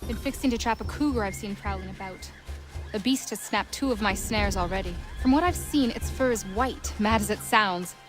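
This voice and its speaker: An actress playing a brisk, tough colonial huntress in a video game, talking in clipped, folksy phrases. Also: female voice, medium pitch, over music, natural voice. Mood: matter-of-fact, intent. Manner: clipped, folksy, matter-of-fact.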